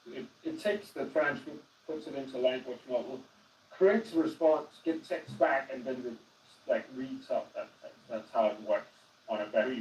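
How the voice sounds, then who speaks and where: A man with a Danish accent gives an animated, casual walkthrough of AI phone agents on a live stream, often restarting his sentences. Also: medium pitch, distant mic, slight background noise. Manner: animated, explanatory, with many restarts. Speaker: guest explaining AI phone agents. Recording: roomy echo, voice away from the mic.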